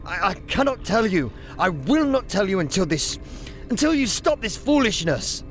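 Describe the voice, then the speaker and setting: A man's voice: sits high, sing-song, normal speed, music in the background. A man playing a scheming, panicky young wolf heir, whiny, blustering and stammering, in a sci-fi audio drama. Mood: indignant panic.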